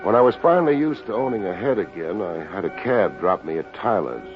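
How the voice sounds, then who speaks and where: A low-pitched, hard-boiled male detective with an American accent. He is wry and deadpan, tossing off terse wisecracks in 1950s radio drama style. The old recording is dull, with faint music behind. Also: a little fast. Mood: wry narrating. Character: wry, hard-boiled male private investigator narrating his case.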